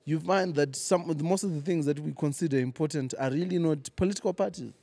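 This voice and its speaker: A man, a comedian guest chatting casually and giving strong opinions with animated, storytelling delivery. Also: fairly high pitch, fairly quick, creaky, no echo. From Zimbabwe. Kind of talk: casual conversational interview. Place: lounge venue.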